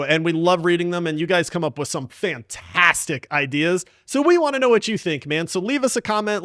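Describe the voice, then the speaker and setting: A man's voice: high-pitched, fairly bright tone, smooth, no echo, studio quality. A man hosting a podcast in casual chat, with an enthusiastic, informal way of talking. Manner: enthusiastic, informal, addresses others as "man" and "dude". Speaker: podcast host.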